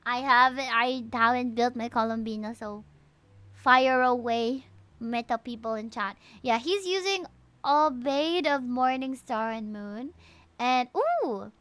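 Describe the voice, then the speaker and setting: Female game streamer, slightly high pitch, casual and opinionated, thinks out loud. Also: takes it slowly, dry recording. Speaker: video game livestreamer. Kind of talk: casual livestream chat while gaming.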